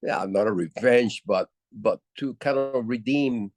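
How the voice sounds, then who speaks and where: An older man from Puerto Rico with a low voice, telling stories as a musician guest in a casual interview, with many halting restarts. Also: a little mellow, no echo.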